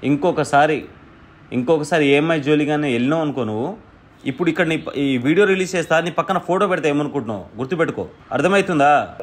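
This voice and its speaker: A man's voice: on the high side, expressive, fast talker. A man in a confrontational phone argument, scolding and threatening, repeating his demands. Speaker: prank-call host posing as the woman's brother.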